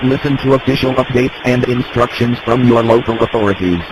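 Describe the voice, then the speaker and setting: Man, emergency announcer, formal steady reading, mid-pitched voice, hissy radio sound. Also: a little fast. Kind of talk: scripted emergency broadcast.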